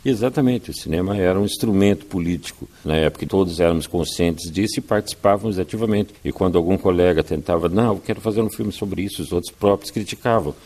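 Older man with a very low voice. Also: darkish tone. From Brazil. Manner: measured, storytelling, tags sentences with "né". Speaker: guest, writer and filmmaker. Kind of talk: reflective interview answers.

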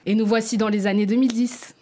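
A woman's voice: a little high, fast. Playful woman explaining with a laugh. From not Congolese. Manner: playful, lively explainer who laughs often. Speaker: guest journalist. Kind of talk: presenting a prepared segment.

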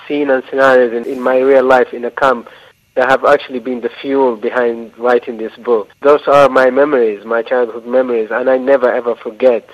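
A man from Eritrea, a novelist, answering interview questions in a thoughtful, halting way with frequent restarts. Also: mid-range voice, flat delivery, highs cut off. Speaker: novelist guest.

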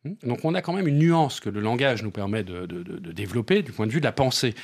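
Bilingual guy on radio, husky voice, swings up and down a lot, keeps hammering his point, repeats words. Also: quick pace. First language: bilingual French and Portuguese. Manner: emphatic, repeats words, lively pitch swings. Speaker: theatre director, guest. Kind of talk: radio interview, animated explaining.

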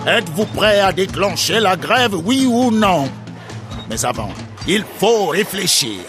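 An actor playing a grave, measured elder railway worker making a solemn speech at a strike meeting in a dramatized novel. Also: male voice, sits high, big pitch swings, over music. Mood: rousing. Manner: solemn, deliberate oratory.

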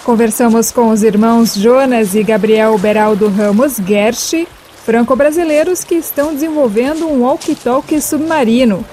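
A woman with a Brazilian accent narrates a scripted news report. Her voice sits fairly high, with a mellow, rounded tone. She presents as a podcast host. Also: normal speed, a little hiss or hum.

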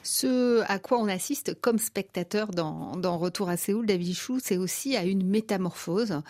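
A woman interviewing a guest on the radio, asking measured, composed questions. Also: mid-range voice, darkish tone, no echo, studio quality.

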